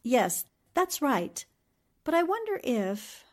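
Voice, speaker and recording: Woman as smart polite girl reporter, highish lively voice, old radio mystery. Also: slow, heavily acted. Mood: thoughtful. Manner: polite, composed. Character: poised, clever, helpful newspaperwoman sleuth. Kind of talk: 1940s radio drama acting.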